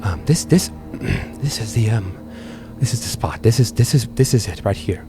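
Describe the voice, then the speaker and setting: A man's voice: on the low side, animated, music in the background, lightly acted. A man voicing a mousy, nervous, forgetful fellow who stammers, hums to himself and repeats his phrases in a tabletop game. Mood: hesitant, flustered. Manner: halting, stammering, repetitive, humming to himself.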